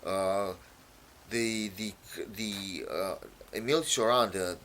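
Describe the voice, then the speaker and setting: A man from Romania, whose first language is Romanian, explains his own poem in a halting way, full of fillers and repeated words. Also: on the low side, a bit bright, slight background noise. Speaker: poet.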